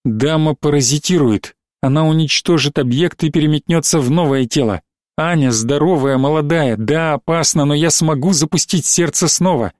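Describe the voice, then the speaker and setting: A cold, firm doctor, as a man reads him, steady and unhurried, not a shade of panic. His voice sits a touch high and the recording is dry and close. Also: no room sound, close to an everyday voice. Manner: level and unhurried. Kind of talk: audiobook reading.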